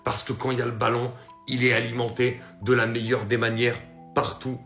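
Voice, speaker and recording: A man insists on his point about a team with firm, emphatic delivery. His voice echoes in the room, and soft guitar music plays behind him. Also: medium pitch, little pitch movement, brisk. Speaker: football YouTuber. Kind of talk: video commentary.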